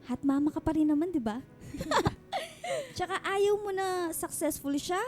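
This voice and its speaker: A woman's voice: extremely high pitch, sing-song, unhurried, full character voice. A Filipino actress playing a nosy, matchmaking friend in her thirties in a radio drama, gushing and bantering in slangy exclamations. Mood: pushy, encouraging. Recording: music bed under the dialogue.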